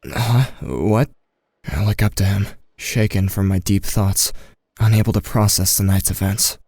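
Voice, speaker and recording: A man's voice: fairly low pitch, fairly even intonation, a little slow, a little mellow, no room sound, clean studio sound. A man playing an anxious, shy teenage boy who narrates his own story in an audio drama. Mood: anxious, subdued. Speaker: narrator and lead actor.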